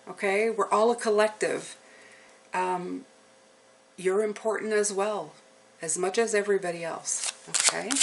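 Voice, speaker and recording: A woman giving an unscripted, reassuring tarot reading to her viewers, often pausing to check in with the listener. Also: medium pitch, slight background noise, rough recording. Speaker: tarot reader hosting a channel.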